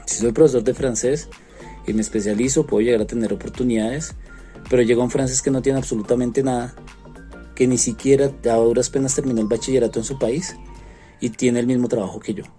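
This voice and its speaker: A man with a Colombian accent speaks in a mellow, relaxed tone. He is a language teacher giving a considered, earnest account to students, often pausing on fillers. Soft music plays faintly underneath. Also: mid-range voice. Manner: earnest, frequent hesitation fillers. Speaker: French teacher, interviewed expert. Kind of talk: explanatory monologue to students.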